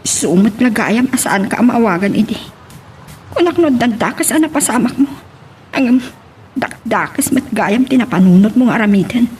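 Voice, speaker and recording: A young woman playing a candid, concerned confidante who gently chides, in an Ilocano radio drama. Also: fairly high pitch, lively intonation, moderate pace, slight background noise, lightly acted.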